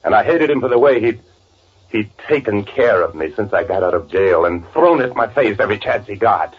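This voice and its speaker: An actor playing a bitter, gloating murderer who poses as his twin, confessing in halting first-person 1940s radio drama narration. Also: on the low side, expressive, natural voice. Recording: tinny vintage radio recording with music.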